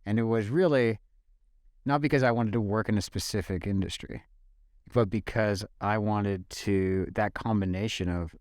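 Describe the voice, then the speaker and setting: A man's voice: on the low side, normal speed, a little mellow, no echo, studio quality. A man from Ontario, Canada, in his forties, co-hosting a casual podcast with an upbeat, enthusiastic manner. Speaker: podcast co-host.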